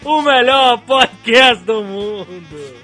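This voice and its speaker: Male Brazilian podcast host, very high bright voice, exclamatory delivery, music underneath. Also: expressive, takes it slowly. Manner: exclamatory, laughs often. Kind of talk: animated podcast banter, reading listener emails. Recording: music behind the voice. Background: background music.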